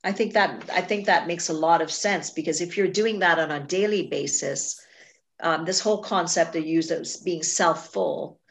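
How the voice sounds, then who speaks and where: Creaky-voiced woman hosting a chat. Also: lowish voice, moderate pace. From Trinidad and Tobago. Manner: chatty, asks follow-up questions. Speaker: podcast host. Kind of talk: casual interview chat.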